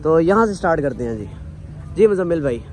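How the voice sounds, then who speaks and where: A man's voice: on the high side, big pitch swings, quick pace, a little mellow, a little hiss or hum. A man interviewing a cattle seller outdoors at a market, repeating the prices for his viewers. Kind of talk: on-location interview.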